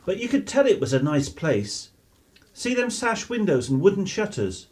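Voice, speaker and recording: A man's voice: high-pitched, sing-song, normal speed, a little mellow. A man playing a down-to-earth, teasing father in a radio play. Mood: amused, teasing. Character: down-to-earth working-class English father, gruffly teasing.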